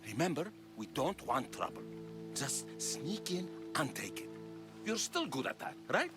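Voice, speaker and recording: An actor playing a wily, sentimental aging mercenary with a coaxing, theatrical way of talking, in a video game cutscene. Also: male voice, fairly high pitch, sing-song, measured pace. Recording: music behind the dialogue.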